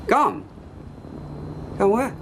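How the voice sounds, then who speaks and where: An actor playing a bitter, self-pitying middle-aged stepfather in a TV soap drama, who swings between shouting and pleading. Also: sits high, sing-song, extremely slow, slight background noise, full character voice. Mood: weary. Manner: flares into shouting, then pleads.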